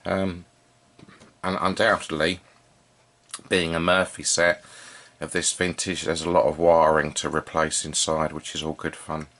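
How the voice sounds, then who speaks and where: Very deep-voiced man, halting and informal. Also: little pitch movement, fairly bright tone. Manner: halting, informal, thinking aloud as he works. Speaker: vintage radio repair hobbyist and video presenter. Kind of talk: unscripted hands-on demonstration commentary.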